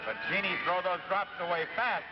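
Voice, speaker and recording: A man's voice: high voice, normal speed, lightly acted. An actor playing a brave, commanding man fighting a monster in a 1950s sci-fi drama, giving terse, protective orders. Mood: urgent, alarmed. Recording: muffled vintage 1950s broadcast, loud dramatic music.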